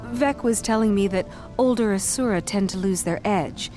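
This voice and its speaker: A woman's voice: medium pitch, moderate pace, over music, natural voice. Wry, shrewd female adventurer, acted by a woman. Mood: sly, goading. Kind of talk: video game cutscene acting.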